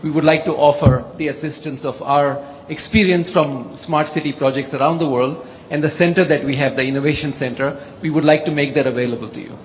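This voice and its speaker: A man's voice: fairly high pitch, steady tone, fairly quick, hardly any pauses. A middle-aged or older man with an Indian accent, formally and deferentially addressing a leader from the audience as an executive. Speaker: tech executive speaking from the audience. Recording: echoey hall with background noise.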